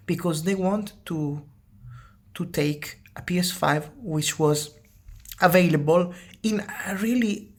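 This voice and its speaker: A woman's voice: sits low, a little mellow. A woman with an Italian accent, speaking English as a second language, hosts her own channel in casual commentary, hesitant and often repeating words as she restarts phrases. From Italy. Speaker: channel host.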